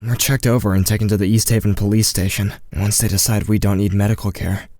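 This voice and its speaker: Narrator lead: anxious, withdrawn teenage boy, male actor, lower register, dry recording, audio drama. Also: level tone, quick pace, darkish tone, no echo, lightly acted. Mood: anxious, subdued.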